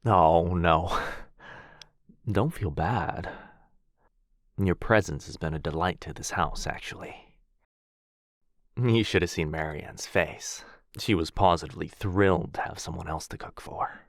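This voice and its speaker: A man playing a soft-spoken vampire lord. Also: sits low, unhurried, dry recording, clean studio sound. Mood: gentle. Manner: soft-spoken, courtly and reassuring. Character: gentle, kind male vampire lord, centuries old. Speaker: voice actor. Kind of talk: ASMR boyfriend roleplay.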